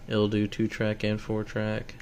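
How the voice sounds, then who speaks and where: Guy doing a casual gear demo, lowish voice, plain spoken, noisy home video sound. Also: monotone, measured pace, darkish tone. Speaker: seller demonstrating equipment. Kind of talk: casual product walkthrough.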